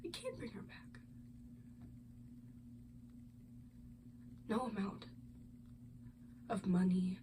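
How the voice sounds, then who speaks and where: An amateur actress performing a stage monologue as a grieving, principled mother, halting and anguished. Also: medium pitch, slight background noise. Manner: halting, anguished, weighty pauses. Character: grieving, principled mother who lost her daughter.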